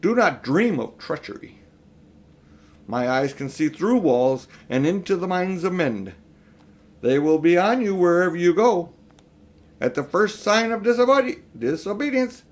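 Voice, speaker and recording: A man's voice: on the high side, pitched above the performer's own voice, close to an everyday voice. A man voicing a haughty, cruel witch queen in a children's storybook, domineering and scornful as she gives commands. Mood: menacing. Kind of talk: children's storybook read aloud.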